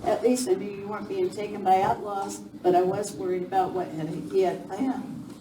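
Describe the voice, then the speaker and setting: A woman's voice: medium pitch, fairly even intonation, normal speed, background noise, close to an everyday voice. A woman playing a worried, caring western mother in an amateur radio play. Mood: worried, relieved. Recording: echoey hall, distant mic, crowd.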